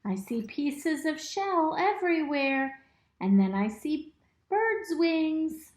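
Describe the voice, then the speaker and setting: A woman reading a picture book aloud to young children in an expressive, instructive way, pausing to ask them simple questions. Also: sits high. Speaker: storybook reader. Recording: roomy, echoey indoor recording.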